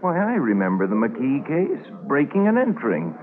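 A man's voice: mid-range voice, wildly swooping pitch, moderate pace, over music. An actor playing a curious, chatty man in a 1950s radio drama. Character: curious, chatty man prompting a police story. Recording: tinny 1950s radio transcription.

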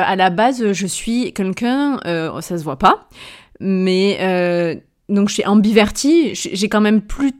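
Chatty rambling woman, southern French accent. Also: mid-range voice. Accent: southern French, Avignon. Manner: chatty and rambling, full of hesitations, self-corrections and brief laughs; addresses the listener familiarly. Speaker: podcast host, entrepreneur. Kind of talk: casual personal storytelling monologue.

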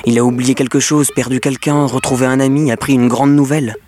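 An actor playing a troubled technician in an audio drama, speaking poetically in short, halting, urgent phrases. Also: male voice, medium pitch, fairly even intonation, a little mellow, lightly acted. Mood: troubled, pensive. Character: troubled masked male technician pleading with his measuring device. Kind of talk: French audio fiction monologue.

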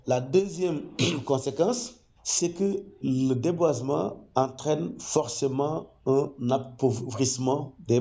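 A man with a gravelly, dark voice explains ecology in a measured, deliberate way, pausing often to find his words. The sound is slightly dull and muffled, as if recorded on modest equipment. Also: medium pitch. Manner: measured, explanatory, with frequent hesitations. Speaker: ecology professor. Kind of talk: interview testimony.

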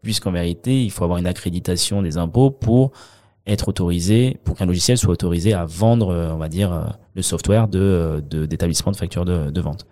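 Low-voiced Frenchman, mellow and hesitant. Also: a little fast. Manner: hesitant, many fillers and restarts. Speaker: accountant, guest. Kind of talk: conversational interview.

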